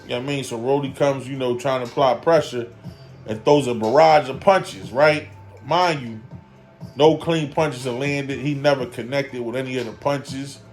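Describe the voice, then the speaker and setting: A man in his forties delivers a loose, rambling boxing breakdown, his voice sitting at a middle pitch. He hammers the same points again and again, padding his lines with easy fillers. Faint music plays quietly behind him. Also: fairly even intonation, normal speed. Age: 40s. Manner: emphatic, repeats his points for effect. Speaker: boxing commentary vlogger. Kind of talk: casual boxing breakdown vlog.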